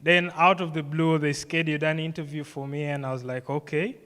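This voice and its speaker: Man, young adult, Ugandan accent, higher-than-average voice, informal live speech, storytelling, reverberant hall. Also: little pitch movement, brisk, fairly bright tone. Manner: conversational storytelling with frequent "you know" and "like". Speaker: programme graduate giving a speech. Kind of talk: informal personal speech to an audience. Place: event hall, live on stage.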